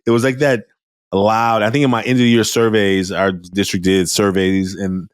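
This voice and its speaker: A male teacher with a loud voice, talking candidly and telling lively stories in a conversation over a video call. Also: lowish voice, moderate pace, clear, resonant tone, no echo, studio quality. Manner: animated storyteller, acts out quoted students.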